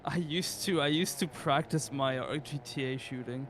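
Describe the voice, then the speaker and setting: A man's voice: mid-range voice, normal speed, fairly bright tone, a little hiss or hum. A man chatting casually while playing a game, offhand and swearing freely. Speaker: fellow player. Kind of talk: casual gaming chat.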